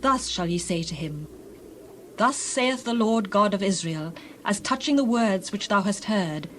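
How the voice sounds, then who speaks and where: An actress playing a stern prophetess who solemnly declaims divine judgment in dramatized scripture. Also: female voice, mid-range voice, expressive, measured pace, slight background noise, close to an everyday voice.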